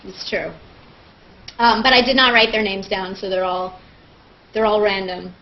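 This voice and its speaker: A woman's voice: mid-range voice, moderate pace. A woman casually hosting a live group chat over a web video call, with a playful manner. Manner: playful, prone to laughing. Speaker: host of a live online hangout. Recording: dull, noisy web call audio.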